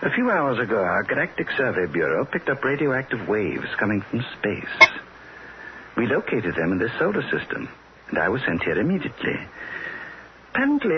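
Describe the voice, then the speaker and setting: A man playing a small old alien in a 1960s radio drama, polite and calmly menacing, hesitating as he searches for words. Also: mid-range voice. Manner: genteel, hesitant word-searching, matter-of-fact. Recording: dull, noisy old radio recording.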